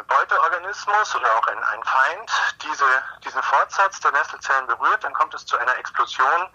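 Guest expert, male, from Munich, bright sharp tone, halting with fillers, phone-interview sound. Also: mid-range voice, lo-fi sound. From Munich, Germany. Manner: hesitant, many "äh" fillers and restarts. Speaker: marine biologist guest. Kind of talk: explanatory interview answers.

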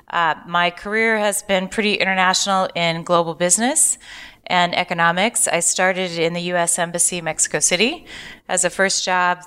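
A woman's voice: lowish voice, sharp tone, clear, resonant tone. A woman with an American accent giving an articulate, structured account as an interview guest. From born in Hong Kong, raised in Asia and Seattle. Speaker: podcast guest, university lecturer and author. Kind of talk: live interview, autobiographical account.

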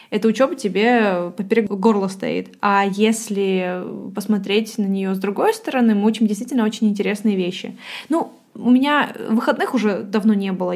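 A woman's voice: mid-range voice, darkish tone. A woman in her late twenties co-hosting a podcast, chatting casually and self-ironically, thinking aloud with fillers. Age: late 20s. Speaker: podcast co-host. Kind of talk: casual chat. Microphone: podcast microphone.

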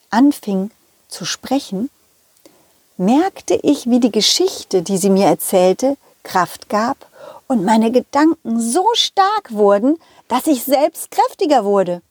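Slow, high-voiced woman telling children's stories. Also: husky, no echo. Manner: animated, expressive emphasis, addresses children directly. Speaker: puppeteer and children's storyteller. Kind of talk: unscripted first-person storytelling for children.

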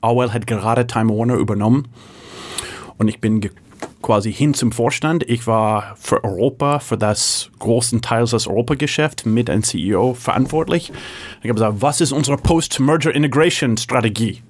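A middle-aged man from San Francisco, a native English speaker with an American accent, answering interview questions in halting German with many fillers and restarts. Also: medium pitch, a little hiss or hum. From San Francisco, USA. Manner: halting German with frequent fillers and self-corrections. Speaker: guest, tech executive.

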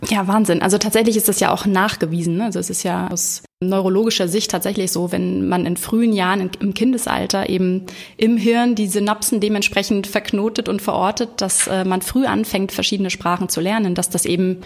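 A woman's voice: medium pitch, steady tone, a little fast, gravelly. A woman hosting an interview, asking long open questions with interest. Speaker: host. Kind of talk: conversational interview.

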